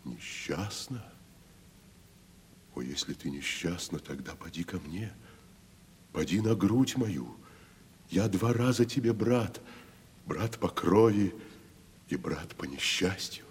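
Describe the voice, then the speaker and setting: Very deep, pompous tragedian. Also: male voice, expressive, slow, long pauses, breathy edge, full character voice. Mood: tender, fervent. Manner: declamatory, theatrical, pompous. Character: gloomy, grandiloquent wandering tragedian, tender big brother. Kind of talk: 1970s Soviet radio play acting. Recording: noisy old radio play recording.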